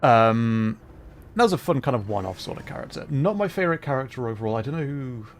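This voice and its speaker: Male VTuber streamer: mid-pitched, chatty and rambling, casual gameplay talk with faint noise behind. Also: animated, fast. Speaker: livestreamer, VTuber. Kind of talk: casual gameplay commentary and chat. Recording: faint background noise, game ambience.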